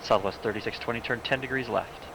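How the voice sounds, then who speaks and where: A man's voice: mid-range voice, fast, gravelly. A man working as an air traffic controller, giving clipped instructions in radio phraseology over a radio link. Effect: radio transmission. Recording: noisy radio channel.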